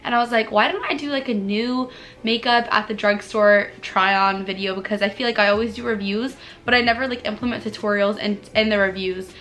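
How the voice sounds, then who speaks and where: A young woman vlogger chatting bubbly and casually through a makeup tutorial, her voice a little hoarse from allergies, with lots of "like" fillers. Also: medium pitch, steady tone, a little fast, fairly bright tone. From United States. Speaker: beauty vlogger.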